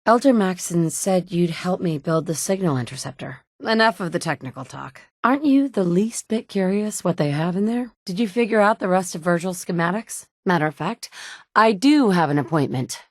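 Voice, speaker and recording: A woman's voice: lowish voice, expressive, moderate pace, no echo. A woman playing a determined young mother surviving in a wasteland, with earnest, dry, conversational delivery in video game dialogue. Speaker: video game player character.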